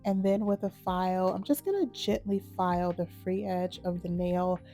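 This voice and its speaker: A woman hosting a nail art channel, slightly hoarse from a cold, talking viewers through a manicure step by step in a relaxed, matter-of-fact way. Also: lowish voice, moderate pace, faint music behind. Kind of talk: casual step-by-step tutorial narration.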